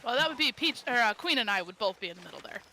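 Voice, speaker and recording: A woman's voice: a little high, sing-song, rapid-fire, pushed and bright, a little hiss or hum. A woman livestreaming a game and chatting casually with a group, with music behind her. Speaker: livestreamer playing games. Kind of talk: casual group chat while gaming.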